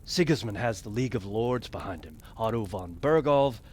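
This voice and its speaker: A man's voice: fairly low pitch, animated, moderate pace, darkish tone, slight background noise, close to an everyday voice. An actor playing a skeptical male knight who questions the plan in a game cutscene. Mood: doubtful. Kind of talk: video game cutscene acting.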